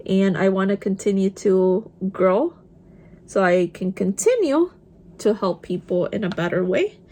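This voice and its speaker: A woman's voice: medium pitch, lively intonation, a little slow, warm tone. Woman, young adult, Spanish accent, native Spanish speaker, casual chat, talks while applying makeup. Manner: chatty, mixes in Spanish words. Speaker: vlogger. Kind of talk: casual get-ready-with-me chat.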